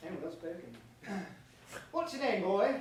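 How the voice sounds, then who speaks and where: An actor playing a pompous, bitter young prince in a staged reading of a verse comedy, declaiming formally. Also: male voice, sits high, big pitch swings, measured pace. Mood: affectedly casual. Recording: distant mic, echoey room, faint noise.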